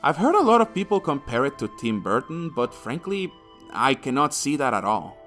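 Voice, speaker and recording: A man delivers an opinionated video essay as a game reviewer. His medium-pitched voice moves around a lot, lively and expressive. He is crude and sardonic and curses freely, with faint music behind him. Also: normal speed.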